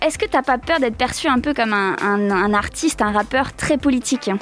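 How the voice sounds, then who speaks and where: A woman hosting a radio interview in a studio, asking questions in a lively manner. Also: a little high, moderate pace, faint music behind. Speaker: radio host.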